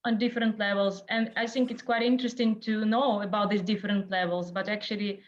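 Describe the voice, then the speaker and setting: A woman whose first language is Russian gives a lecture over a video call. She speaks haltingly, fills gaps with uh and um, and searches for her words. The call audio sounds dull and lo-fi. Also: mid-range voice, fairly even intonation. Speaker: guest lecturer. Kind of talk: online lecture in a second language.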